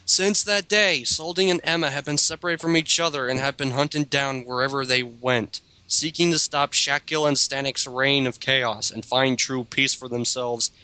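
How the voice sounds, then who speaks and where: A man's voice: mid-range voice, fairly even intonation, moderate pace, hardly any pauses, sharp tone, clear, resonant tone, no echo, low-quality recording. A man reading a text aloud as lead reader of an amateur dramatic reading, breaking into sarcastic, incredulous casual commentary.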